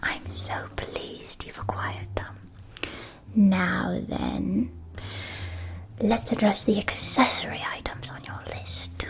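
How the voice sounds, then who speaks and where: A woman playing a gracious, gushing shopkeeper of witch school supplies in an ASMR roleplay, speaking in a soft, breathy whisper with genteel, formal phrasing. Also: mid-range voice, fairly even intonation. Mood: gracious, attentive. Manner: genteel, unhurried, formal old-fashioned wording.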